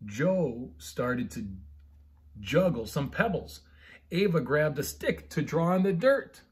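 A man's voice: a little high, sing-song, slow pace, a little mellow. A middle-aged American man, a pastor chatting casually and reading children's bedtime stories expressively. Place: living room at home, indoors.

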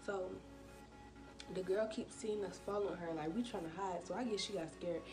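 A young American woman telling a casual story to camera, acting out what people said in an animated way. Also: fairly low pitch, moderate pace. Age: young adult. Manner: animated retelling with acted-out quotes. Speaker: vlogger. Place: small bedroom, indoors. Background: hip hop music.

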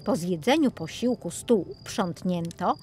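A woman narrating a children's fairy tale as an expressive audiobook storyteller. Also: on the low side, unhurried, faint music behind.

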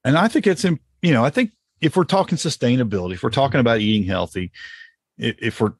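A man's voice: medium pitch, animated, fast talker, slightly rough, dry recording. A man hosting a podcast, chatting casually in a folksy way as he explains things off the cuff. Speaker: podcast host. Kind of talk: casual chat about home gardening.

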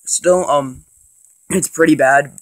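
A man with a cold and a congested voice, rambling casually with lots of ums and excited bursts as he plays and narrates a handheld game. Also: fairly high pitch, slow. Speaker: gaming channel host. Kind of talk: casual let's play commentary.

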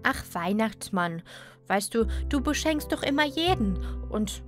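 Kind little cloud for a bedtime story, female actor, very expressive, faint music behind. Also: fairly high pitch, measured pace. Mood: tender, glad. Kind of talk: children's audio play.

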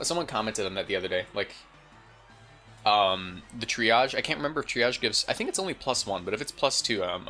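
Bright-voiced, slightly congested man commentating a game. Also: lowish voice. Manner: informal, thinking aloud. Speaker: gaming video host. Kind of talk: casual gameplay commentary.

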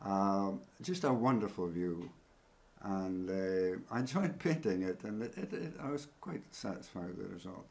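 An older male painter, interviewed in his studio, reminisces gently, with soft laughs and hesitations. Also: deep voice, expressive, moderate pace, vocal fry. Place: indoor studio.

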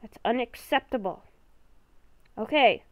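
A woman playing a nervous young female werewolf in an improvised Minecraft roleplay, halting and stammering as she talks. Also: fairly high pitch, dry recording, lightly acted. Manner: halting, stammering, repeats herself. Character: nervous young woman werewolf, hearing ghostly voices at night.

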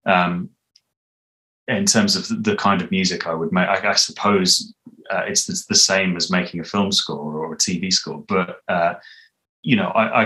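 A man in his thirties with a low-pitched voice. He explains his creative work in a casual, hesitant, thoughtful way, speaking over a video call from home. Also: sharp tone, rough recording. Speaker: audio drama composer, interviewee. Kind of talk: casual interview conversation. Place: small home room, indoors. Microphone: video call, mic at a distance.

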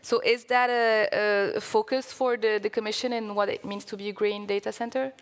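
A woman's voice: mid-range voice, steady tone, moderate pace. A young woman moderating a panel and putting audience questions to speakers, with some restarts. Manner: hesitant, with restarts. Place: conference stage, indoors. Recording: reverberant stage room.

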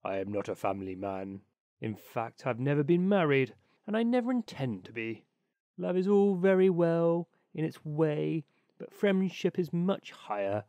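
A pompous old water rat, haughty and smug, speaking low and gravelly, as a man reads him. The storybook voice sits a bit back from the mic in a dry room. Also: very animated, unhurried, dark tone, dry recording, highs cut off. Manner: haughty, self-satisfied.

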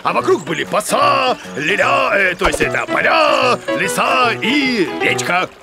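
A goofy, boastful cartoon creature, a bearded mama's boy. His high voice swoops wildly and creaks as he blusters and stammers. Music plays behind him. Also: big pitch swings, a little slow, full character voice. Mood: boastful, indignant. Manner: blustering, muttering and stammering, exclamatory.

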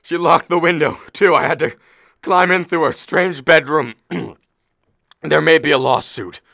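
Man acting sharp-voiced exasperated father of the bride. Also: on the high side, measured pace, creaky, dry recording, clean studio sound, heavily acted. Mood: exasperated ranting. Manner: sarcastic, ranting, exasperated. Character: exasperated middle-aged father of the bride, angry, sarcastic husband. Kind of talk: radio play acting.

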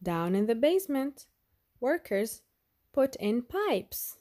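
Lady reading a kids picture book out loud, slow and really animated. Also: on the high side. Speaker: storyteller reading a children's picture book aloud. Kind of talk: expressive read-aloud narration for children.

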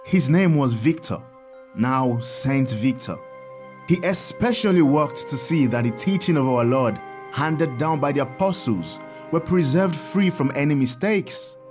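Patient priest, lively swooping pitch. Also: male voice. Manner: patient, explanatory, measured. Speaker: actor in an audio drama. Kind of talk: audio drama storytelling.

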